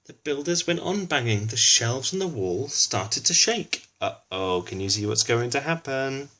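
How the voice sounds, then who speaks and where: A man's voice: mid-range voice, sing-song, unhurried, pushed and bright. A man reads a children's storybook aloud expressively, adding informal explanatory asides for a young listener. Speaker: children's book reader.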